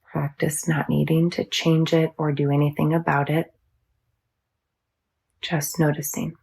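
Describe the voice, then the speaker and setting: A woman teaching yoga nidra, guiding a meditation in a soothing, measured, instructional manner. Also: low voice, little pitch movement, vocal fry, a little mellow, breathy edge. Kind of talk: guided meditation.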